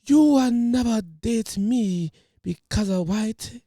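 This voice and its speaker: A man voicing a high, mocking woman in an exaggerated, sing-song way. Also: takes it slowly, mellow, no room sound, clean studio sound, full character voice.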